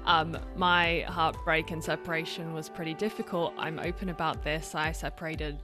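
Low-voiced woman with a mixed Australian-British accent. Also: steady tone, normal speed, music in the background. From Australia and Britain. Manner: open, reflective storytelling with frequent restarts. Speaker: podcast guest. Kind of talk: conversational interview.

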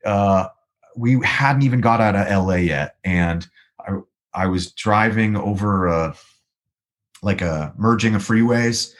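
Baritone guy rambling through a story, lots of restarts, kinda low voice. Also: unhurried. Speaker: guest musician. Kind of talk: storytelling in a podcast interview.

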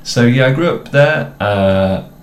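A young adult man from the English Midlands with a mixed, somewhat posh English accent, co-hosting a casual chat in a relaxed, rambling way with self-mocking jokes. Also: mid-range voice, takes it slowly. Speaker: co-host. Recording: echoey room with faint noise.

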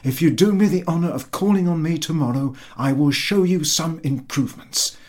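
A man's voice: on the high side, normal speed, darkish tone, pitched above the performer's own voice, lightly acted. A man voicing an eager, boastful landowner who fawns effusively on his superior in a story reading. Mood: effusive, self-satisfied. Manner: obsequious, effusive, over-polite.

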